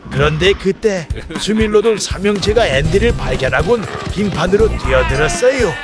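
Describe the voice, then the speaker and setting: Male storyteller for children's animated tales, fairly high voice, expressive delivery, strong music underneath. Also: moderate pace. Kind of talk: scripted children's story narration.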